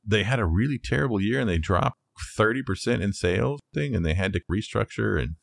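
A man's voice: fairly low pitch, expressive, a little fast, creaky, dry recording. A middle-aged man co-hosting a podcast, casually sharing facts in an informal, loosely structured way. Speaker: podcast co-host.

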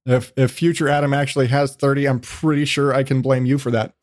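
A man's voice: medium pitch, flat delivery, brisk, a little mellow, no room sound, clean studio sound. A man hosting a podcast, chatting in a casual, rambling way with jokey, self-deprecating, profane remarks. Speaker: podcast host. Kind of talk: casual, rambling interview chat.

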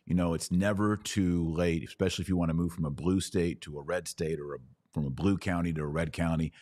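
American guy doing podcast commentary, really low voice, emphatic, dry close sound. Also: fairly even intonation, brisk, a little mellow, dry recording. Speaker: podcast host. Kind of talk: conversational commentary answering listener questions.

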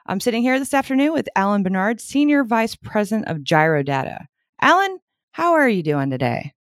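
A woman's voice: medium pitch, sing-song, a little fast, no echo, studio quality. A woman hosting an interview podcast, friendly and laughing along with her guest. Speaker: podcast host. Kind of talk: casual interview chat.

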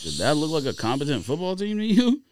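A man's voice: fairly high pitch, wildly swooping pitch, quick pace, a little mellow, a little hiss or hum. A man co-hosting a sports podcast, making his case in a combative, insistent and challenging way. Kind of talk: casual sports debate.

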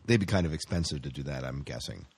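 A man's voice: very deep voice, expressive, quick pace, a little mellow, breathy edge, no room sound. A man co-hosting a podcast, chatting casually in an enthusiastic, rambling way as a fan of sci-fi shows. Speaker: podcast co-host.

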